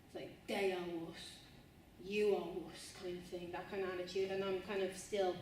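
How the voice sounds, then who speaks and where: A woman's voice: fairly low pitch, flat delivery, normal speed, darkish tone. A young Irish woman speaks earnestly to a student audience, circling back over her words and repeating phrases as she thinks aloud. She is recorded from across the room in a space with heavy echo and a faint background hum. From Ireland. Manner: earnest, rambling, repeats and restarts phrases, says "you know what I mean". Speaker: spoken word artist giving a talk. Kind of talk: informal talk to students. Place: university room, indoors. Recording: distant, very echoey room with faint ambience.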